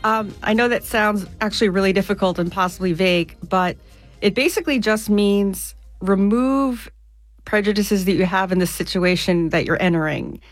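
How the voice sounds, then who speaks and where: A woman from the United States, an author being interviewed on the radio, explaining ideas clearly with everyday examples. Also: medium pitch, normal speed, no echo, faint music behind.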